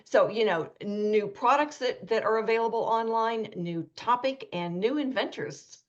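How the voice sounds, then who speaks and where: A woman's voice: a little high, measured pace, dull audio. A woman hosting a radio talk show, chatty and anecdotal, acting out quoted lines as she talks.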